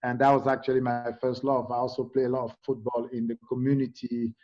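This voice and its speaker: Middle-aged man from Cameroon, halting speech, lots of fillers, dull lo-fi recording. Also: mid-range voice, completely flat delivery, warm tone. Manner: halting, many fillers and restarts. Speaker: interview guest. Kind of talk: conversational interview.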